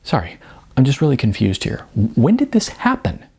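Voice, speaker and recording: A hurt young woman from a novel, voiced by a male reader, stammering and echoing words in disbelief. The pitch swings widely and the tone has a husky edge, with bewildered sarcasm throughout. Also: huge pitch swings, brisk, a little mellow, heavily acted. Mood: incredulous, upset. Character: confused, hurt young actress being dumped, incredulous and sarcastic.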